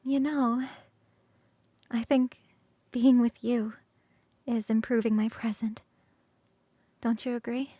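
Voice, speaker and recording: A woman voicing an ancient succubus queen for ASMR roleplay, tender and teasing, speaking slowly and intimately, often near a whisper. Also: a little high, steady tone, dark tone, no room sound.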